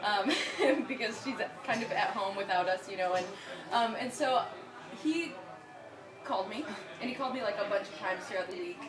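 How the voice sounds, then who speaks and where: A woman's voice: mid-range voice, normal speed, roomy sound, recorded from a distance. A young woman from Michigan telling a personal story in a chatty, giggly way, with many fillers and bursts of laughter.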